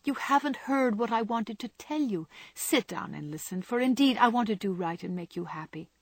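A spirited young woman, earnest and remorseful, as a woman reader voices her. Her tone is breathy and husky at the edges. The sound is dry and close. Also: a little high, animated, moderate pace, dry recording, voice pushed up. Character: spirited young woman, kind but firm.

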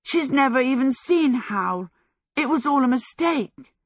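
A woman voicing a jubilant, excitable teenage apprentice boy in love, gushing, in a storybook. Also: medium pitch, unhurried, no room sound, clean studio sound. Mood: jubilant shouting. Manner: exuberant, gushing. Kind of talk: storybook character voice.

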